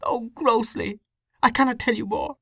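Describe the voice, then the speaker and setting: A woman's voice: on the high side, fairly even intonation, moderate pace, creaky, fairly bright tone, husky, no room sound, clean studio sound, voice pushed up, exaggerated voice. A woman voicing a young, distraught woman torn by conscience, pleading and breathless. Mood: anguished.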